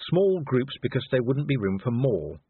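Guy doing a tired grumbly ambassador, dry and wry, lively pitch, thin phone-like sound. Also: moderate pace, slightly rough, no echo. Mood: pondering. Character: weary, wry male ambassador, reluctant and thoughtful. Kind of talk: audiobook character voice.

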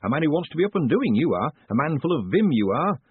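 A man's voice: on the high side, very animated, fast, breathy edge, no echo, pitched above the performer's own voice, full character voice. A man voicing a short, nervous, folksy small-town mayor in an audiobook, chatty and eager to please. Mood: chummy, admiring. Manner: chatty, repetitive folksy phrasing with tag endings. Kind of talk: audiobook character dialogue. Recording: muffled, thin phone-like sound.

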